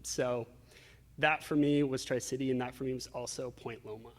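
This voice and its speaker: A man's voice: mid-range voice, fairly even intonation, moderate pace. A man being interviewed, telling personal stories in a reflective, casual way with acted-out asides. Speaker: interview guest. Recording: reverberant hall-like echo.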